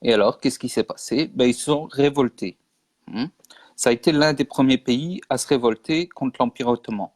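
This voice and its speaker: A man from Belgium speaks as a guest in a casual online interview, earnest and insistent, repeating his points for emphasis. His voice sits at an ordinary pitch and sounds dry and close. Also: normal speed, a little mellow, dry recording. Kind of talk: casual interview explaining history.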